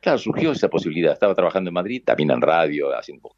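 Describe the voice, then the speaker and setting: An older man with an Argentine Spanish accent who has spent a career as a radio announcer. His voice sits extremely low, with a gravelly, booming texture. The recording is dry and close, with a slightly muffled, lo-fi sound. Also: very animated, very fast, no room sound. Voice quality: deep booming voice. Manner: warm reminiscing, acts out quoted voices. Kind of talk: conversational storytelling.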